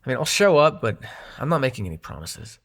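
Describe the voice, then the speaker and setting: A man playing a snarky but secretly soft-hearted young demon prince in an intimate audio roleplay, teasing the listener and turning hesitant when showing affection. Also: medium pitch, very animated, quick pace. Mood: sullen, wry.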